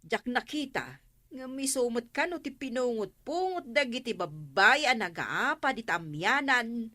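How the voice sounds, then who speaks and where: A woman's voice: fairly high pitch, animated, unhurried, sharp tone, dry recording, studio quality, heavily acted. A woman acting a scolding, sarcastic village captain's wife in a radio comedy drama, with an Ilocano accent. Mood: gossipy.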